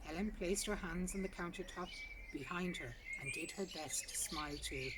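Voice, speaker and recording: A woman's voice: on the low side, normal speed. A woman reads a short story aloud as scripted narration, recorded outdoors in a garden. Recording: distant mic, outdoor noise, birdsong. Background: birdsong and outdoor ambience.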